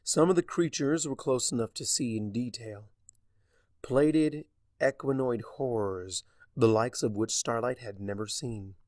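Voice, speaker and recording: Slow, dramatic male storyteller, dry close sound. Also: mid-range voice, darkish tone, no room sound. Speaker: narrator. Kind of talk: dramatic scripted story narration.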